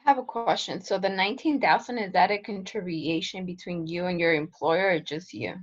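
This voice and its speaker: A woman's voice: fairly low pitch, a little fast, lo-fi sound. A female student asking brief, tentative questions in class.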